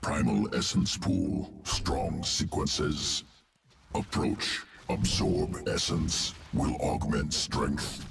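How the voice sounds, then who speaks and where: An extremely deep, crackling alien insectoid perfectionist from a sci-fi game. It speaks slowly in terse, clinical fragments with no warmth. Music plays behind it. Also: male voice, full character voice. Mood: clinical, detached. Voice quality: deep gravelly creature voice. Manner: clipped telegraphic speech without articles. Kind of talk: sci-fi video game character acting.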